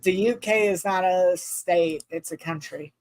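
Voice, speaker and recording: A twenty-four-year-old woman chatting casually, hesitant with fillers, quick to correct others. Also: lowish voice, a little mellow, no room sound. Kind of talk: casual stream chat.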